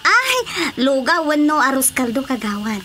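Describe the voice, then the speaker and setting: A woman's voice: sits high, big pitch swings, normal speed, noisy background. A woman with an Ilocano accent playing an eager, coaxing food vendor in a radio drama comedy.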